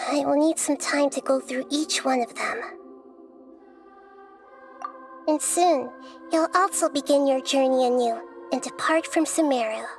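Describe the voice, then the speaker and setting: A high, girlish voice a small, wise young goddess in an anime video game. She is gentle and kind, choosing words with measured, reflective care, with music playing behind her. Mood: reflective, sincere.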